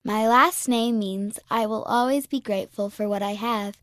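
A woman reading a child's first-person magazine piece aloud in a bright, friendly storybook manner. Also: a little high, fairly even intonation, moderate pace, no echo, studio quality. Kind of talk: scripted narration.

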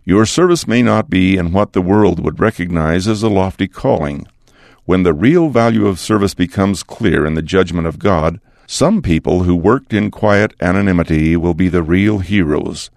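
Older male church leader, low gravelly voice, deliberate earnest sermon delivery. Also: a little mellow, dry recording, clean studio sound. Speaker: church leader addressing students. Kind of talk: devotional address.

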